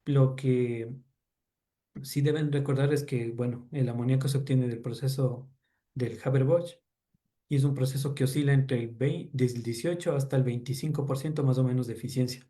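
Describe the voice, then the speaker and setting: A man with an Ecuadorian accent and a mellow, dark-edged voice of ordinary pitch. He answers questions about his research in an academic seminar. His delivery is informal and explanatory. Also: fairly even intonation, moderate pace. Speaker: doctoral student presenting research.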